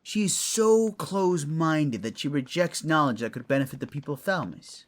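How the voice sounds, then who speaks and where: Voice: mid-range voice, lively intonation, normal speed, lightly acted. A performer playing an eccentric, genial and chatty male fantasy lord in an audio roleplay, with theatrical, courtly, mischievous delivery. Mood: indignant.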